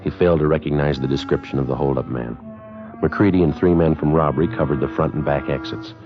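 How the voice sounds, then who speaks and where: An American man playing a terse, unflappable police detective, narrating in clipped, deadpan 1950s radio drama style. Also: rumbling low, fast. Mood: deadpan, factual. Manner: deadpan, matter-of-fact. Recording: muffled 1950s radio broadcast with music.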